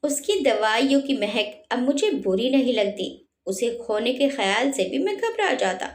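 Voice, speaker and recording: A woman's voice: on the high side, sing-song, measured pace. A woman narrating a scripted emotional story in a sentimental, dramatic way. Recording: roomy, echoing sound.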